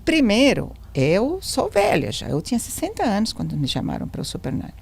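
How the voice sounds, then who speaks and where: This is a woman in her seventies who learned the language later in life. She tells her story at ease in an interview. She lively acts out the dialogue she quotes. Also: medium pitch, brisk, vocal fry, slightly rough. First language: not Portuguese. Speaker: podcast guest.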